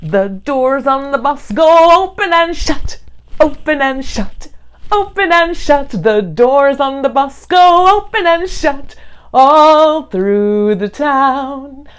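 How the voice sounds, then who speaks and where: Slow, high-voiced woman hosting storytime. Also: expressive. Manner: simple, clearly phrased questions to children. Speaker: children's read-along host. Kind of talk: storytime narration addressed to young children.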